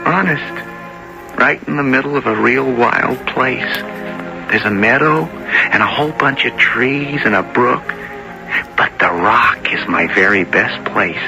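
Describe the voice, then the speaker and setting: A simple, earnest teenage boy tells his story on old-time radio drama. His speech is slow and halting, with a lively rise and fall full of naive wonder, and music sits clearly behind him. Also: mid-range voice, heavily acted, low-quality recording. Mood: earnest, confiding. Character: simple, earnest, dreamy teenage boy who talks to rocks. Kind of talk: 1970s radio drama acting, first-person storytelling.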